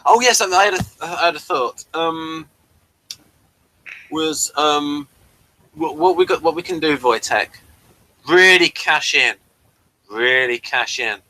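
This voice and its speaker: Bright, higher-voiced man hosting a review. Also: lively intonation, slow pace, long pauses, poor audio. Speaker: host of a fan review channel. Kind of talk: casual review chat.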